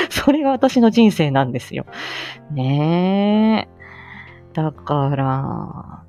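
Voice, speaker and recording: A woman's voice: sits low, big pitch swings, drawn-out words, a little mellow. A woman hosting a casual live audio stream, chatting and reminiscing in a self-deprecating way with frequent laughter. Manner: self-deprecating, laughs often while talking. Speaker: amateur voice drama actor. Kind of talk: casual live chat, reminiscing and answering listeners. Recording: muffled lo-fi stream with background music. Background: background music.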